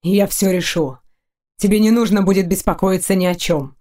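A woman's voice: medium pitch, moderate pace, mellow, natural voice. A woman voicing a stern young male knight in an audiobook, a tense and stubborn lover who speaks tersely and insistently. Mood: steely. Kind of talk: audiobook character voice.

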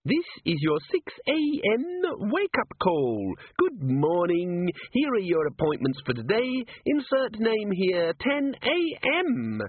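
Chirpy little machine voice, very high, slow and bouncy, tinny phone-like sound. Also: male voice, wildly swooping pitch, husky, no room sound, exaggerated voice. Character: chirpy little talking gadget, eager machine. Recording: phone-like narrow band, dry.

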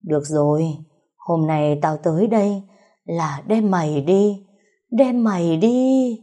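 Woman voicing a slow, eerie vengeful female ghost. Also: lowish voice, dark tone. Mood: menacing. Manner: slow, drawn-out, repeated threats.

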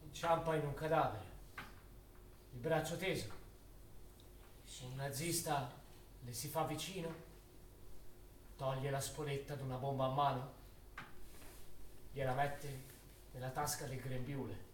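A man's voice: medium pitch, steady tone, a little slow, pauses often, a little hiss or hum, lightly acted. An actor playing a solemn male chronicler of a wartime massacre, declaiming short, stark phrases in theatrical narration. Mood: solemn, grim. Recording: echoey distant stage recording with loud music.

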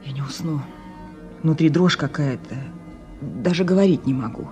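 An actress playing a weary, anxious woman crop breeder in a radio play, earnest and hesitant, with a husky, breathy voice. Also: deep voice, normal speed, warm tone, faint music behind, lightly acted. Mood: exhausted. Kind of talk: Soviet radio play acting.

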